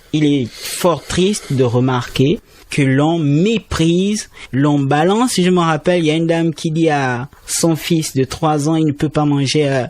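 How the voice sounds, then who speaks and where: A man, a student trainee explaining conservation as a radio interview guest, speaking emphatically. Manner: explanatory, emphatic with rising pitch.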